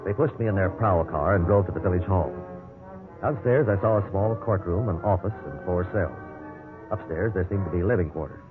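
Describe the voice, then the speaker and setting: A man's voice: low-pitched, fairly quick, narrow, tinny audio, music in the background, lightly acted. An actor playing a respectable, wrongly accused businessman telling his story, earnest and conversational, in 1950s radio drama style. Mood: wry, measured narration. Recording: thin band-limited 1950s radio recording, hiss.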